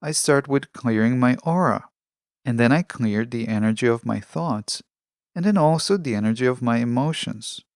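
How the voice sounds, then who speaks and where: A man with a mid-pitched voice and a noticeable gravelly fry delivers a scripted self-help podcast monologue. He speaks as a host, measured and instructional, explaining things step by step. The sound is dry and close to the microphone. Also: no echo.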